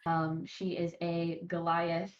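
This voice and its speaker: Slow, lowish woman in a roomy space. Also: monotone. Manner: hesitant, searching for words. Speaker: tabletop RPG player. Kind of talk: casual character description. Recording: muffled, roomy microphone sound.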